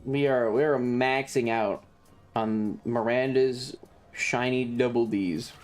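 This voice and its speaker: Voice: mid-range voice, fairly even intonation, takes it slowly. A streamer giving casual, sarcastic game commentary to chat, playfully crude and full of swearing. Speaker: streamer, host. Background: faint game music.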